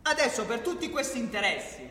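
A man's voice: very high-pitched, big pitch swings, fairly quick, pushed and bright, heavily acted. A man performing an indignant satirical narrator in a radio play, declamatory and sardonic, drawing out his lists with emphatic bursts. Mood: indignant, mocking. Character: male satirical narrator, indignant at corrupt politics. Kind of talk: theatrical storytelling monologue for radio theatre. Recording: echoey hall, faint noise.

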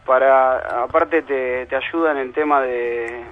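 A man's voice: medium pitch, fairly even intonation, slow. Argentine man on a tinny phone line. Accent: Buenos Aires Argentine Spanish. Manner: colloquial, slangy. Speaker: rock band musician interviewed by phone. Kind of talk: casual chat. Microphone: telephone.

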